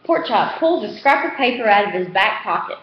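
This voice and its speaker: A woman giving an animated read-aloud of a children's novel as its narrator. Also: medium pitch, a little fast. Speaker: reader. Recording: roomy echo, slightly dull sound.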